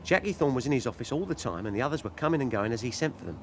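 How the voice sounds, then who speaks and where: An actor playing a guarded small-time police informant who haggles for money in a British radio crime drama. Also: male voice, mid-range voice, sing-song, very fast. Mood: confiding. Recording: clear background ambience noise.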